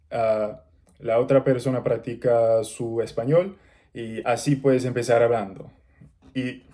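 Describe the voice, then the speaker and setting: Slow, mellow-voiced man learning the language. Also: mid-range voice. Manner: halting learner's speech with pauses and restarts. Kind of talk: casual talk to camera.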